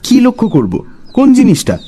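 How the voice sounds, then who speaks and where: A man's voice: very high-pitched, huge pitch swings, normal speed, noisy background, pitched above the performer's own voice, exaggerated voice. A man voicing a curious, hesitant schoolboy who gives short, questioning replies in a dramatised story. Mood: puzzled.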